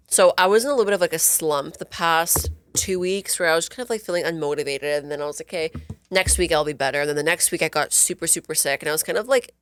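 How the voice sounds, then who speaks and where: A low-voiced woman talks quickly in a relaxed podcast chat. She sounds stuffy and nasal from a cold and leans on "like" a lot. The sound is dry and close. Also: dry recording. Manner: chatty, full of "like" fillers. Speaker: podcast co-host. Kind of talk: casual chat.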